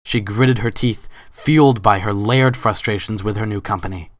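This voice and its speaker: A man's voice: lowish voice, normal speed, no echo, clean studio sound. A man narrating a scripted story as a dramatic reading.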